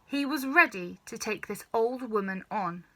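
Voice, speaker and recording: A woman reading a children's story aloud in an expressive, dramatic, emphatic way. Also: on the high side, unhurried. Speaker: storybook reader.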